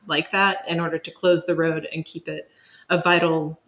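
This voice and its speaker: Low-voiced woman, expert guest. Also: flat delivery, moderate pace, a bit bright. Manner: thoughtful, explanatory. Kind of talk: conversational explanation.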